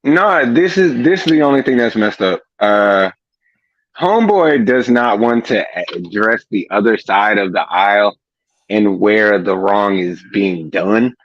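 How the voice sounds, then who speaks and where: Man, early thirties, slangy casual talk, lowish voice, muffled lo-fi remote audio. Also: a little slow, no room sound. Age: 30s. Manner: loose slangy talk full of "like". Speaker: guest on livestream. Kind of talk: casual chat.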